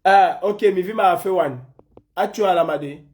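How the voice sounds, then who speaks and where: A man's voice: high-pitched, normal speed, darkish tone. A man from Senegal hosting a live stream, arguing with a caller and lecturing him insistently, repeating his points. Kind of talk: heated live call-in debate.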